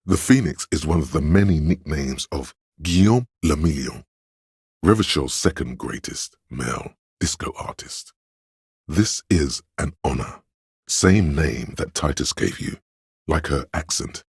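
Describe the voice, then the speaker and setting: An actor voicing an erudite, worldly inner voice of knowledge in a video game, narrating facts in a measured, dry, scholarly way. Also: male voice, a bass voice, vocal fry, slightly rough, dry recording, heavily acted.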